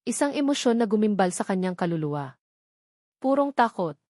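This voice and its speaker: A woman narrates a scripted love drama with solemn, melodramatic delivery. Her pitch is average for a woman, and the recording is very dry, free of room sound. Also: very animated, fairly quick, a little mellow, no room sound.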